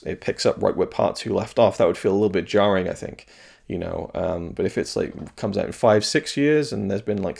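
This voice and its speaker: A slightly hoarse man reviewing video games in a casual, rambling solo talk, thoughtful and full of restarts. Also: on the low side, a little mellow.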